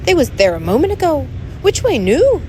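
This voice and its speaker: A woman voicing an excitable, simple-minded tiny male pixie in a storybook reading, with clear noise behind. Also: high voice, moderate pace, voice pushed up. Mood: bewildered.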